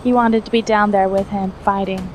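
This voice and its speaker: A woman narrates an action fantasy tale from a script. Her pitch is in the middle range for a female reader. A faint layer of noise sits behind the voice. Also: fairly even intonation, normal speed, a little mellow. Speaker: fan fiction narrator.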